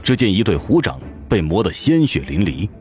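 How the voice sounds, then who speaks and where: Animated male fantasy narrator over music. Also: low-pitched, moderate pace. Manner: intense, theatrical storytelling. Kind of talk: dramatic scripted fantasy narration. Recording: music behind the voice.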